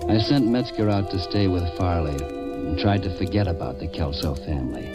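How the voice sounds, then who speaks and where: A man acting as a hardboiled police detective from an old radio crime show. His voice is on the low side, clipped and sardonic, always ready with a tough wisecrack. Music plays clearly behind the old recording. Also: normal speed, close to an everyday voice. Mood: weary narrating.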